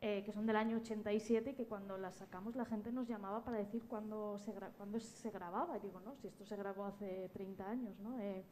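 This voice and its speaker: Mellow-voiced enthusiastic woman from Spain. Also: medium pitch, fairly even intonation, a little fast, hardly any pauses. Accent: Spanish from Spain. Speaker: panelist, podcast producer. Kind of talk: panel discussion, conversational. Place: live round-table event.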